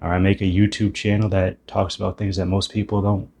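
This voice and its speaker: Deep-voiced man musing on spirituality. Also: level tone, fairly quick, creaky, darkish tone, a little hiss or hum. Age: 30s. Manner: musing, self-deprecating, thinking aloud with restarts. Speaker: YouTuber. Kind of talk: reflective spiritual monologue.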